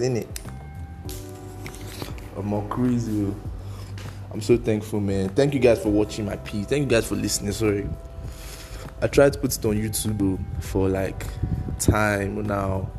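A man's voice: lowish voice, darkish tone. A young Nigerian man whose first language is Igbo, hosting a casual solo podcast in a loose, rambling way, mixing slang and pidgin. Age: young adult. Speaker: podcast host.

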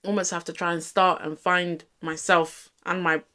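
A woman's voice: on the low side, flat delivery, moderate pace, bright, forceful tone. A young woman with a London accent, co-hosting a casual podcast chat and talking earnestly and reflectively, with lots of "like" fillers. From England. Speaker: podcast co-host.

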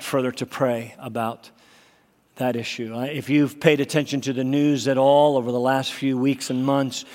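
Nasal pastor preaching in a hall. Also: male voice, medium pitch, darkish tone. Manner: emphatic, rising for key points. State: slightly nasal from a cold. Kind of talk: sermon. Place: church sanctuary. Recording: long hall reverb.

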